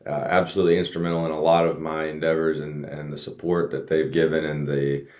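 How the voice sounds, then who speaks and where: A man's voice: very deep voice, flat delivery, fast, a little mellow. A man raised in the United States, a race car driver, telling his story earnestly as a guest in a casual podcast interview. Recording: roomy echo.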